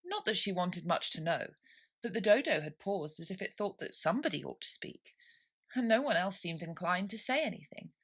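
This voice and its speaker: Very animated, bright-voiced woman storyteller. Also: on the low side, moderate pace, husky. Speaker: storybook reader. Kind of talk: expressive scripted children's story narration.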